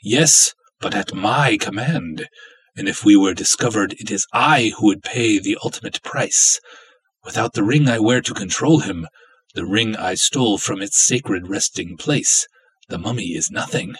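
Man playing a husky, grandiose villainous cultist. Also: lowish voice, measured pace, fairly bright tone, dry recording, heavily acted, rough recording. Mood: impassioned, pleading. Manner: theatrical, florid, formal. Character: obsessive, lovestruck villainous male cultist, grandiose and menacing. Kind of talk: dramatic story reading.

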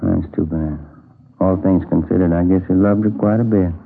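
An American actor playing a plain-spoken, musing police detective in a 1950s radio crime drama, in a conversational manner. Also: male voice, deep voice, steady tone, moderate pace, vocal fry, breathy edge, faint music behind, full character voice. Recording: muffled, narrow-band vintage radio recording.

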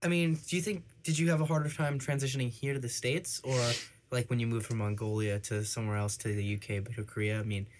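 A man's voice: mid-range voice, steady tone, quick pace, slight background noise. A man interviewing in a casual chat, asking long rambling questions.

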